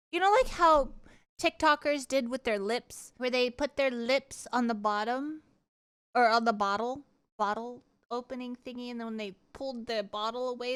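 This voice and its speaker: A woman chatting casually on a livestream, telling stories in an animated, rambling, giggly way. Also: fairly high pitch, clean tone. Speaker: streamer. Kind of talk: casual livestream chat and storytelling.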